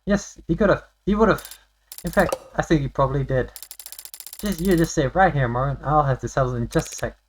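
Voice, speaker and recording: A man's voice: fairly high pitch, a little fast, slight background noise, voice pushed up, close to an everyday voice. A man voicing a nervous, eager game character who stammers and gets flustered easily.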